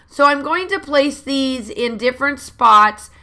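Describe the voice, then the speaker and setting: A woman hosting a scrapbooking channel, rambling chattily through a crafting voiceover with many fillers, her voice slightly hoarse from allergies. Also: sits high, animated, a little slow, sharp tone. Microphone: voice-over recorded separately from the video.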